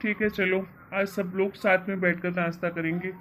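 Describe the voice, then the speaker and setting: A woman voicing a calm, reassuring working husband and elder brother in a family story. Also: on the low side, normal speed, slight background noise, close to an everyday voice. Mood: mild, affectionate. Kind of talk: acted dialogue in Hindi family story.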